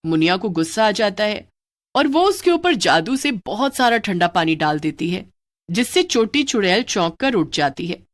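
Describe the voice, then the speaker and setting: A woman's voice: mid-range voice, sing-song, normal speed. Woman narrating a cartoon horror story.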